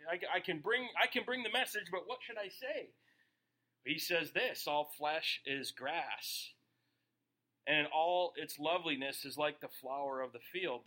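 A man's voice: a little high, sharp tone. A man preaching a sermon in a casual, joking way, often asking the audience questions. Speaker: pastor.

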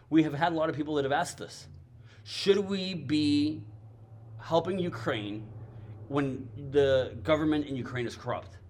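Earnest Mexican-accented man preaching. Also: mid-range voice. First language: Spanish. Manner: earnest, emphatic, repeats phrases for weight. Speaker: missions ministry speaker. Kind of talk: sermon-style ministry talk.

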